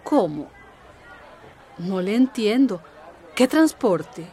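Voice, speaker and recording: A courteous village woman in a radio drama. She speaks slowly at a medium pitch, polite and a little puzzled. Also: sing-song, dark tone, breathy edge, some chatter behind, full character voice.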